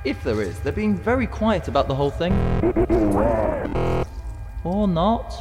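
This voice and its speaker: Dry, high-voiced male ghost guide. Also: a little slow, over loud music. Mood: dry, unbothered. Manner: blunt, deadpan wit. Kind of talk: audio drama acting.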